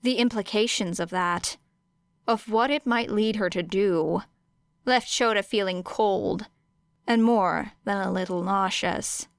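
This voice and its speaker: A woman reads a story as an audiobook narrator. Her delivery is slow and measured, with deliberate pauses. The tone is smooth, and the sound is close and dry. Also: medium pitch, no room sound. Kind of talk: scripted fiction narration.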